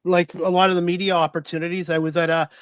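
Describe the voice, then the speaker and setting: A man's voice: high-pitched, level tone, quick pace, a bit bright, clean tone, no room sound. A man hosting a casual interview, talking in an earnest, plain-spoken, rambling way. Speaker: talk show host.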